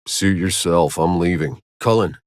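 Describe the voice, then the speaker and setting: An actor voicing a jaded, sardonic, hardened soldier in a video game, with a rough voice and a wry, terse, world-weary delivery. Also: male voice, deep voice, a little slow, no room sound, studio quality. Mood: wry, casual. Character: jaded, sardonic male soldier, hardened survivor of alien wilds. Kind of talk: video game character voice lines.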